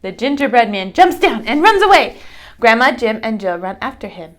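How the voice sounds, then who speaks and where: A woman's voice: on the high side, sing-song, normal speed. A woman teacher reading a children's story aloud and chatting with a child about it. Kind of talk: animated children's read-aloud with questions to a child.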